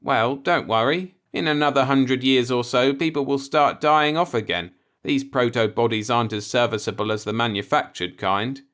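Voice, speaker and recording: A man's voice: medium pitch, moderate pace, hardly any pauses, a bit bright, pitched above the performer's own voice, natural voice. A man voicing an earnest, law-abiding and easily alarmed man in a science-fiction audiobook, with an insistent manner. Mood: wry. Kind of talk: audiobook character voice in a sci-fi story.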